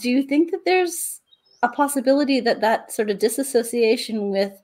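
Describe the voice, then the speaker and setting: A woman hosts a book interview in a slightly high voice. She asks long, careful questions and answers her guest with short, affirming agreements. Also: expressive, normal speed, darkish tone. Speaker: podcast host. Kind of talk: casual interview chat.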